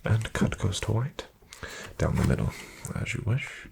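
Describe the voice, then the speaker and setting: Casting: male performer as courteous casino dealer for ASMR roleplay, hushed low voice, heavy vocal fry, formal and deferential. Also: very animated, noisy background, full character voice. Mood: courteous, calm. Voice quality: soft, hushed, breathy-edged delivery. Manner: polite, formal, measured, deferential. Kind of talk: soft-spoken ASMR roleplay. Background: card shuffling and clicking.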